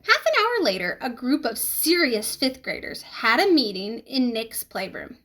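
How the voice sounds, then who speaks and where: A woman reading a children's book aloud in an expressive, emphatic storytelling manner. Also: on the high side, a little slow. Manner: animated, emphatic storytelling with questions to listeners.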